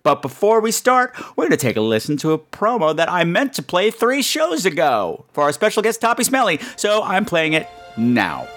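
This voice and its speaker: A man's voice: sits high, wildly swooping pitch, normal speed, few pauses, a bit bright. A man hosting a podcast with a campy, theatrical, chatty comic delivery. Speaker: podcast host.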